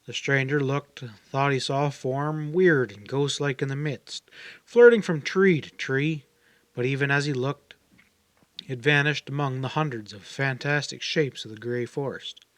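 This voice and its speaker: A man's voice: mid-range voice, unhurried, a bit bright, no echo. A man reading scripted story narration as an audiobook narrator.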